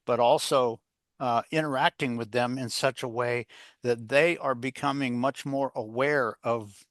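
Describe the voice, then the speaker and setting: A man's voice: medium pitch, a bit bright, dry recording, clean studio sound. A man speaking as an interview guest and coach, explaining his views in an emphatic, rambling, folksy way with frequent repetition. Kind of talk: conversational explanation of leadership advice.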